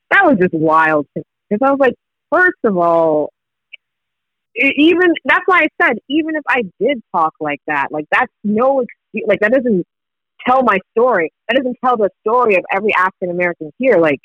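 Kenyan-born guy, swahili first language, high voice, dull dry recording. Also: normal speed, no echo. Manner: animated storytelling with laughs. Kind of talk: casual chat.

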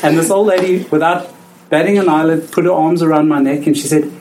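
A South African man in his forties, a doctor telling personal stories, halting and restarting as he goes. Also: on the high side, fairly even intonation, a little mellow, poor audio. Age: 40s. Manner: halting, with frequent restarts and laughter. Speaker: physician. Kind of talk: personal storytelling.

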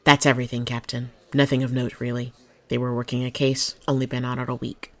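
A woman's voice: rumbling low, little pitch movement, fairly quick, a little mellow. An actress playing a loyal, candid policewoman who speaks deferentially but frankly to her captain in an audio drama. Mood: matter-of-fact, candid.

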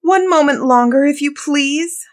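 A woman's voice: medium pitch, lively intonation, moderate pace, natural voice. A woman voicing a frightened, desperate young wife in a fairy tale, pleading and calling plaintively. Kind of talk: dramatized fairy-tale storybook reading.

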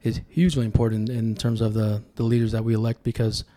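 Mellow-voiced man telling stories. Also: fairly low pitch, level tone, quick pace. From Sacramento, California. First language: Tongan. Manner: reflective, storytelling. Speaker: podcast guest, political candidate. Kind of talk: casual podcast conversation.

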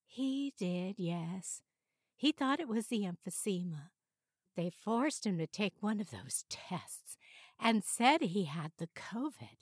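A woman's voice: mid-range voice, very animated, takes it slowly, dry recording, studio quality. A woman voicing a chatty, rambling elderly mother in an audiobook, fussy and dismissive. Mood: chatty, exasperated.

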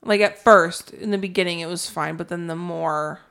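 A woman's voice: on the low side, steady tone, normal speed, creaky, fairly bright tone, clear, resonant tone. A young woman co-hosting a podcast in casual chat, giggling often and filling her talk with "like". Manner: giggly, says "like" a lot. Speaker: podcast co-host.

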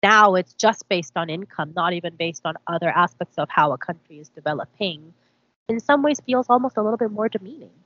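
A woman whose mother tongue is Korean talks through ideas in a measured, hedging manner, often pausing to qualify what she says. Her voice sits on the low side for a woman. The recording sounds dull and lo-fi. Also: animated, fairly bright tone. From South Korea. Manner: thoughtful, hedging, lots of "I think" and "like". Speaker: podcast co-host. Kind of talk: casual podcast discussion.

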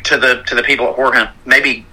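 Southern American male, forceful and raspy, lowish pitch, wry conversational delivery. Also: flat delivery, fast, low-quality recording. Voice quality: loud, rough-edged. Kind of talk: casual chat.

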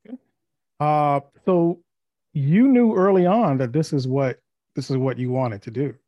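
Podcast host guy, kinda high voice, dry close sound, lots of ums. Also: no echo, highs cut off. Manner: halting, many fillers. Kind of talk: podcast interview.

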